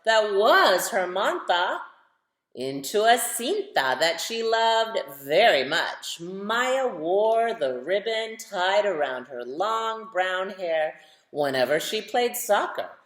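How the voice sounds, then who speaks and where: A woman's voice: mid-range voice, big pitch swings, takes it slowly, a bit bright. A woman reading a picture book aloud to children, expressively, putting questions to her young listeners. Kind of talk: storytime read-aloud for children. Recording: roomy, echoing sound.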